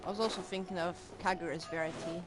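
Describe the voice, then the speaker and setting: A woman's voice: fairly low pitch, steady tone, fast. A woman giving casual, giggly gameplay commentary as a streamer. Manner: giggly, informal. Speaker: game streamer. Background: game music.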